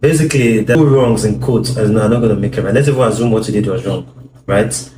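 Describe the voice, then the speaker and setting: A man's voice: on the low side, quick pace. A man talking earnestly with his wife about communication in marriage, often repeating words as he explains. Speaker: co-host, husband. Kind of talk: casual talk on marriage advice. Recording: echoey room with background noise.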